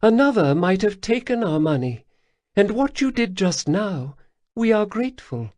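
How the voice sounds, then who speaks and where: A man's voice: high voice, very animated, moderate pace, darkish tone, no room sound, voice pushed up. A man voicing a female Khajiit caravan leader, speaking in formal, slightly broken phrasing. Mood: earnest, grateful. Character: female Khajiit cat-folk caravan leader, proud, grateful. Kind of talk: audiobook character voice.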